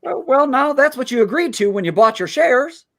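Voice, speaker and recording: A man playing an earnest, kind-hearted small-town loan manager in a live radio play, stammering and halting as he pleads. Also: very high-pitched, animated, vocal fry, a bit bright, exaggerated voice. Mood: placating.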